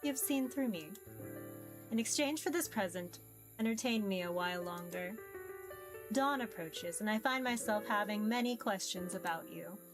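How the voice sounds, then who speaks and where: A woman voicing a mysterious ancient being in a visual novel, formal, measured, amused and curious about humans. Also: medium pitch, lively intonation, music in the background, lightly acted, rough recording. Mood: amused, probing. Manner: formal, measured, archaic phrasing. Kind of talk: visual novel character read-aloud.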